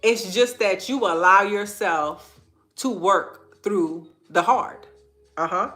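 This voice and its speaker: A woman's voice: mid-range voice, takes it slowly, fairly bright tone, faint music behind. A woman hosting an inspirational faith-based livestream, earnest and repeating phrases for emphasis, her nose stuffy and congested. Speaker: host of a live online talk.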